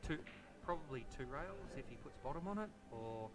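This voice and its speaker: A man's voice: fairly high pitch, lively intonation, moderate pace, low-quality recording. An Australian man commentating a live pool match on a headset mic, chatty and explaining shots.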